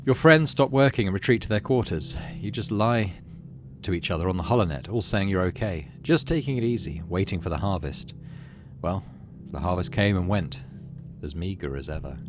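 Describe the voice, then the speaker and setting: Deep-voiced, very expressive male game narrator. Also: moderate pace, over music. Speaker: gaming channel host. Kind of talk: dramatic read-aloud narration of a game story with casual asides. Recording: clearly audible background noise.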